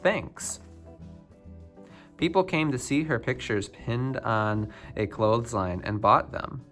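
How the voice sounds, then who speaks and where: A man reading a children's picture book biography aloud, phrase by phrase with expressive emphasis. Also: mid-range voice, slow, soft background music. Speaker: storybook reader.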